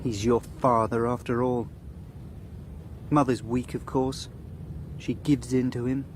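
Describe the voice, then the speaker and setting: A man plays a put-upon English son in a radio drama, in a medium-pitched voice. He is hesitant and brooding, resentful but guilty about it, with faint noise behind him. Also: little pitch movement, slow pace, close to an everyday voice. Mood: bitter, brooding. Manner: hesitant, brooding, self-reproving. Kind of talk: British radio drama acting.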